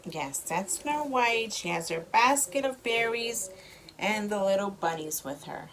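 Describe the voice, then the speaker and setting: A woman reads a children's story aloud at an unhurried pace. Her pitch moves up and down in a lively, child-directed way, and she adds simple explanations. A light noise sits behind her in an amateur home recording. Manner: slow, expressive child-directed delivery.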